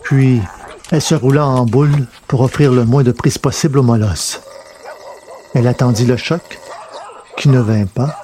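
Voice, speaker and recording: A man's voice: medium pitch, normal speed, long pauses, dark tone, slight background noise. A man reading a scripted science fiction adventure as narrator.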